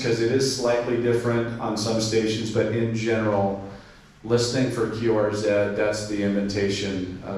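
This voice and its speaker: A man's voice: fairly low pitch, a little mellow. A man giving an informal club presentation, explaining steps and spelling call signs in radio phonetics, with frequent fillers. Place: club meeting room. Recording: very echoey room, distant mic, faint noise.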